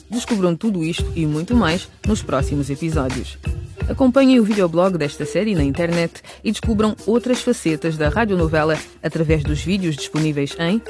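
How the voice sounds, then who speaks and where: A woman announcer reading scripted narration for a radio series. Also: on the low side. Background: music.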